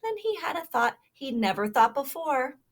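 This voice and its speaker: A woman's voice: high voice, very animated, normal speed. A woman reading a children's story aloud expressively, stopping to prompt her young listeners with questions. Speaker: storybook reader.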